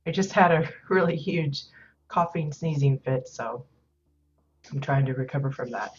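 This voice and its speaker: A woman hosting a game livestream in casual chat, a little hoarse and stuffed up, rambling with many ums and uhs. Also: low voice, normal speed. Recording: slightly dull lo-fi audio.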